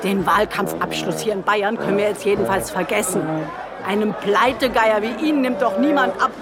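An actress playing a bossy, exasperated party leader who scolds brusquely in a satirical radio play, over loud music and bustle. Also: female voice, medium pitch, normal speed, creaky, over a noisy crowd, lightly acted. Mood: exasperated, scolding.

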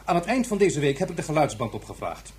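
High-pitched, stubborn professor. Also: male voice, sing-song, quick pace, a little mellow. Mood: insistent. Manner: precise, insistent, pedantic. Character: stubborn, earnest male professor arguing his case. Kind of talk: Dutch science fiction radio drama acting.

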